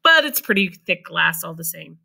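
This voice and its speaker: A woman's voice: on the low side, big pitch swings, normal speed, vocal fry, bright, forceful tone, exaggerated voice. A woman voicing an eccentric, cheerful male candy maker with bubbly, theatrical exclamations in a read-aloud storybook. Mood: cheerfully calm.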